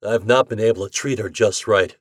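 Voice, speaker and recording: A man reading an audiobook, voicing a gruff, desperate young male bookmaker who talks bluntly in slang. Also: lowish voice, a little fast, dry recording, clean studio sound, natural voice. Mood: anguished. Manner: blunt slangy talk, rising to pleading.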